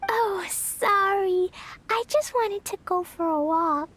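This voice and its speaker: A woman voicing an excitable, innocent little girl adventurer in a video game. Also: very high voice, slow, exaggerated voice. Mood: earnest. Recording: music behind the voice.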